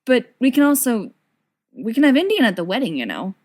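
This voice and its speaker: A woman's voice: fairly high pitch, big pitch swings, a little fast, voice pushed up. A woman voicing an earnest, flustered young man in an audiobook-style dialogue reading.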